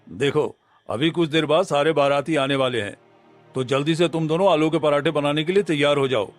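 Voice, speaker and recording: A man's voice: fairly high pitch, brisk, faint music behind, natural voice. A man playing a middle-aged village headman, an authoritative father of the bride, in a Hindi cartoon story. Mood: pleased.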